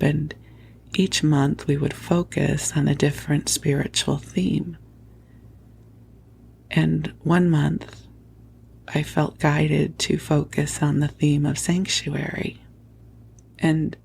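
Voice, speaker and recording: Slow, whispering woman, soothing sleep host. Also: low-pitched. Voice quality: hushed, breathy whispering. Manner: soothing, tender, unhurried, affectionate. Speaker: sleep podcast host and spiritual teacher. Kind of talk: whispered guided sleep talk, spiritual meditation. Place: at home under a blanket. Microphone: close microphone.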